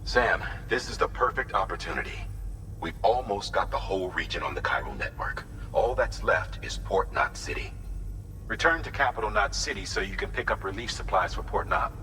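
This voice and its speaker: An actor playing an authoritative, businesslike commander giving mission instructions in a video game. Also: male voice, low voice, expressive, moderate pace, pushed and bright, recorded from a distance, a little hiss or hum, poor audio. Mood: urgent, directive. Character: commanding male superior directing a courier's mission.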